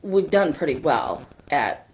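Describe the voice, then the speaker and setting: A woman's voice: deep voice, takes it slowly, rough recording. A woman co-hosting a casual podcast, chatty and telling a story about her workplace. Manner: chatty storyteller, animated in quotes. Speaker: podcast co-host.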